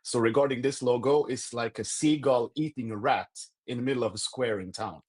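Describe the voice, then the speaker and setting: A man with a Swedish accent, a native Swedish speaker, chatting as a podcast guest and pausing often to search for words. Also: medium pitch, darkish tone, slightly rough. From Sweden. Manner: halting, repeats words while searching for English phrasing. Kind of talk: casual conversation.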